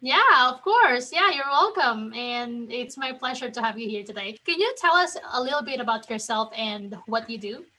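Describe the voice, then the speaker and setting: A woman's voice: fairly high pitch, expressive, normal speed, few pauses, pushed and bright, slight background noise, low-quality recording. A woman from the Philippines hosting a podcast, chatting in an upbeat, friendly way and laughing easily. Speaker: podcast host. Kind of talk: casual podcast interview.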